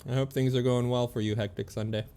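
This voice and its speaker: A man's voice: medium pitch, level tone, fast talker, warm tone, smooth. A man giving casual livestream commentary on a video game, easygoing and chatty as he thinks aloud and reads out on-screen text. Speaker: game streamer.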